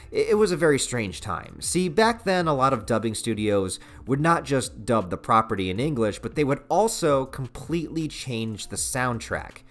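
A man in his thirties with an American accent narrating a casual, opinionated review in an informal, enthusiastic, slangy way. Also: mid-range voice. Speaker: video reviewer. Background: background music.

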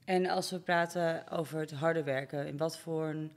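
A woman's voice: low-pitched, fairly even intonation, normal speed. A young woman asking questions in a casual, conversational interview style. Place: hair salon, indoors. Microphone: podcast mic on stand.

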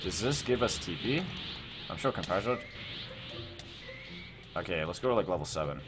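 A young man with a low voice hosts a gaming video. He talks casually through his play, thinking aloud in a rambling way. Music plays clearly behind him. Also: lively intonation, moderate pace. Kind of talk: casual gameplay commentary.

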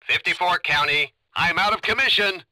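High-pitched, eager pursuit cop. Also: male voice, moderate pace, sharp tone, poor audio. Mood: keyed-up. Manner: clipped, urgent. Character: keyed-up, eager male pursuit officer. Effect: police radio. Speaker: police officer. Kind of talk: video game radio chatter.

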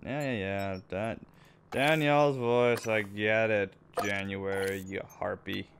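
A man's voice: on the low side, fairly even intonation, slow, fairly bright tone, a little hiss or hum. A man giving casual, wry, joking commentary as he plays a video game. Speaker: gamer, host. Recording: game music behind the voice. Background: video game music.

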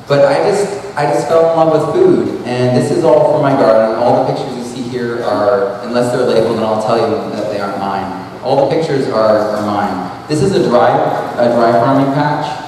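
A man's voice: mid-range voice, darkish tone, slight background noise. A man in his thirties from New England, giving a casual, rambling talk with a handheld mic to an audience in a large hall. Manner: rambling, restarts often, conversational and earnest. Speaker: speaker at an expo. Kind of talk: casual presentation. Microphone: handheld mic, far camera. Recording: echoey hall, voice from far off.

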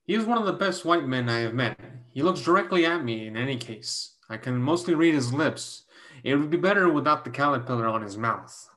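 A man playing a protective, plain-spoken young Chinese brother in a play reading over a video call, speaking in measured, formal phrases. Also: mid-range voice, lively intonation, fairly bright tone, lightly acted. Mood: courteous, frank. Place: home room on video call.

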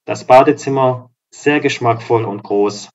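A man with a slightly hoarse voice talks his viewers through new apartments, upbeat and selling as he walks from room to room. His pitch sits mid-range, and the phone recording sounds a little dull and lo-fi. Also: a little slow, a little mellow. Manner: upbeat, promotional, walks through rooms while talking. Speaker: real estate agent and vlogger. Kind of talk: property tour narration. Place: apartment complex in a seaside town, indoors and outdoors.

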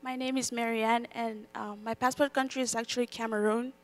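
Young woman, from Nigeria, rather high voice, even, hesitant. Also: fairly quick. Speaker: student panelist.

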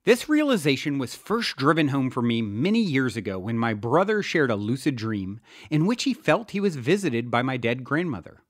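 A man from the US East Coast reading his own self-help book in a deliberate, earnest way. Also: mid-range voice, big pitch swings, no echo, studio quality. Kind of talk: scripted audiobook narration.

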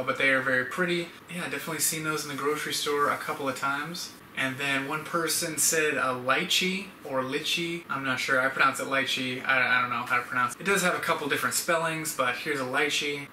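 A man's voice: medium pitch, bright, forceful tone. A young man hosting a casual vlog, talking to camera in a rambling, conversational way. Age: young adult. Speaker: YouTube channel host. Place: indoor room at home, standing. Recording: echoey room, distant mic, background hiss.

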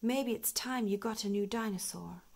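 A kindly daddy pig with a slight grumble, read by a woman. The delivery is gentle and expressive, made for a children's storybook. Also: mid-range voice, moderate pace, creaky.

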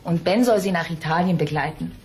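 A woman's voice: on the low side, fairly quick, a little mellow, slight background noise, natural voice. An actress playing a cheerful mother speaking to her children in a children's drama. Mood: cheerful, teasing.

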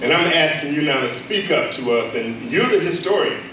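A man's voice: fairly high pitch, animated, moderate pace, bright, forceful tone. An older man, a retired pastor, earnestly asking a question from the floor. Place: large church hall. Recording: roomy echo, distant mic, noise.

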